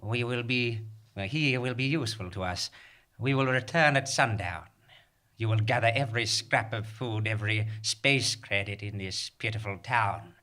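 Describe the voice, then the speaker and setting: Casting: actor as homunculus gang leader, composed menace, bright forceful edge, comic western radio play. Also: mid-range voice, moderate pace, natural voice. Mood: commanding threat. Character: calm, menacing conjoined homunculus bandit boss. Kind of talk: comic stage radio play before an audience.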